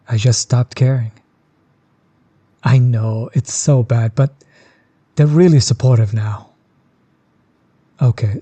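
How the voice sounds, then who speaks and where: A man playing a chill, friendly tattoo artist in an intimate audio roleplay, soft-spoken, easygoing and reassuring. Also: mid-range voice, slightly rough, no echo. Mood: candid, amused, then gentle, attentive. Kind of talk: intimate one-sided audio roleplay, casual chat.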